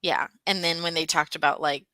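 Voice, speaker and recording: A woman's voice: deep voice, steady tone, a little fast, bright, forceful tone, no echo. A woman co-hosting a casual book discussion, talking conversationally and thinking aloud as she goes.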